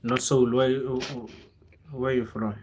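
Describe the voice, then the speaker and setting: A young man with a South African accent talks casually to his livestream audience in a mid-pitched voice. He rambles in a motivational way, leaning on slang and repetition. Now and then he switches into local languages. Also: little pitch movement, slow, darkish tone, a little hiss or hum. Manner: informal, slangy, code-switches into local languages, repeats phrases. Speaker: livestreamer, content creator.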